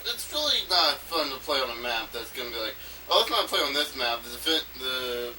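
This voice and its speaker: A man giving an unscripted, rambling monologue as an amateur audio log, haltingly, repeating himself and trailing off mid-phrase. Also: mid-range voice, pushed and bright. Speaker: amateur game designer recording an audio log. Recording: noisy amateur recording, distant from mic.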